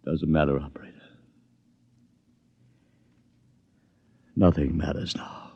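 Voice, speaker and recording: A man's voice: a bass voice, flat delivery, a little fast, creaky, slightly rough, muffled recording, exaggerated voice. An actor playing a desperate, hot-headed publicity man in a 1950s radio drama. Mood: defeated. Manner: urgent, pleading, explosive.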